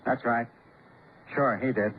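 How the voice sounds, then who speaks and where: A man's voice: mid-range voice, steady tone, measured pace, close to an everyday voice. Man acting a cowed, defensive husband, old radio. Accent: American. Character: cowed, defensive husband shielding his wife. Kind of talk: 1950s radio drama acting. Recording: scratchy old radio broadcast, narrow band, hiss.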